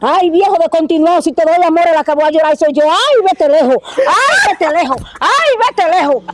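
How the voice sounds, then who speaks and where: A woman's voice: extremely high pitch, lively intonation, normal speed, a little hiss or hum. A woman voicing a flirtatious neighbor who coaxes and comforts. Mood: coaxing. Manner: coaxing, flirtatious.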